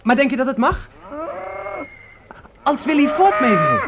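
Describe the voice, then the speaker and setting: An eager frontier boy played by a male in a radio play. His very high voice bounces about animatedly, with clear noise and cattle lowing behind. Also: big pitch swings, unhurried, exaggerated voice. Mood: eager, pleading. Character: eager young pioneer boy, son of the family. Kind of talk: radio drama acting.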